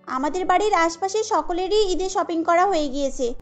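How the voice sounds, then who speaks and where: A woman's voice: very high voice, normal speed, faint music behind. A woman voicing a chatty, teasing young friend in a cartoon. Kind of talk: cartoon character voice.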